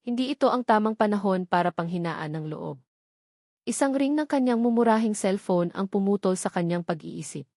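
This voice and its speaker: A Filipino woman narrates an audiobook drama in a scripted, storytelling style. Her voice sits at an ordinary female pitch, and the sound is dry with very little room in it. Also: expressive, moderate pace, mellow, no echo.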